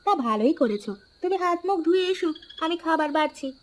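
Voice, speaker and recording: A cartoon village housewife with a very high voice. She is caring and fusses over her husband. Faint rain and insect ambience sits behind her. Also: animated, fast, slight background noise. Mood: attentive. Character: caring, fussing village shopkeeper's wife. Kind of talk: cartoon voice acting.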